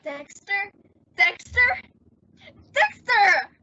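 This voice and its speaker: A woman's voice: very high voice, wildly swooping pitch, drawn-out words, pushed and bright, heavily acted. A woman playing an indignant, lovelorn teenage girl in an old-time radio comedy, histrionic and emphatic. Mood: wounded indignation. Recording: dull, lo-fi radio-play audio.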